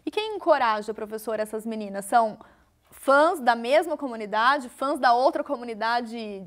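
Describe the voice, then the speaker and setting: A woman's voice: a little high, lively intonation, moderate pace. A Brazilian woman asking questions as an interviewer.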